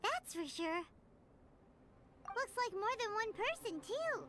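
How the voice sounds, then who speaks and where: Super squeaky cute little fairy sidekick, cheeky, swoops all over, game music behind. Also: female voice, big pitch swings, slow, fairly bright tone, a little hiss or hum, full character voice. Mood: curious, chatty. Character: tiny childlike female fairy companion, excitable and cheeky. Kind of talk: cartoonish fantasy game dialogue.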